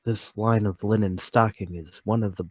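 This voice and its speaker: A man playing a meek, anxious, polite salesman, husky and hesitant, in a radio play table read. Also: on the low side, steady tone, normal speed, darkish tone, no echo, close to an everyday voice. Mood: deferential. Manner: deferential, hesitant.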